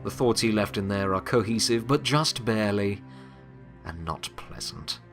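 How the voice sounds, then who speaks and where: A man playing a weary, wry, remorseful pony who serves as Death, speaking in a reflective, halting, confiding monologue in an audio drama. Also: lowish voice, animated, over music. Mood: bitter, subdued.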